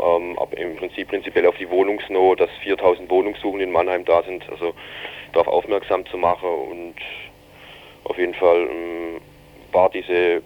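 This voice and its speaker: A man gives an unscripted eyewitness account in an interview. His voice sits low, and the recording is somewhat dull and narrow. He rambles haltingly, breaking off and rewording as he explains. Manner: halting, rambling, keeps reformulating sentences. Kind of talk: spontaneous informal interview account. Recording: dull, band-limited radio interview recording.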